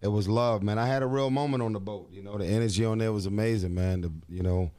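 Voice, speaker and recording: A man who is a singer, talking as a guest on a radio show, animated and joking. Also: fairly low pitch, fast, no echo. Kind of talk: casual interview chat. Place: radio studio.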